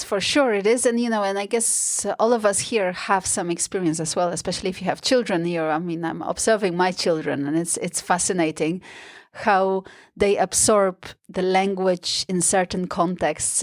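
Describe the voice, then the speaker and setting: A woman's voice: fairly low pitch, steady tone, normal speed, no echo, studio quality. A woman hosting a podcast, asking questions and reflecting in a chatty, conversational way. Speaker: podcast host. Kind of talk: conversational interviewing.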